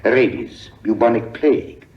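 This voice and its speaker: An actor playing a suave male villain who taunts his captives in a smooth, deliberate, mocking voice, from an old radio drama. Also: medium pitch, lightly acted. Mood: menacing. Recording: echoey, dull old radio broadcast from afar.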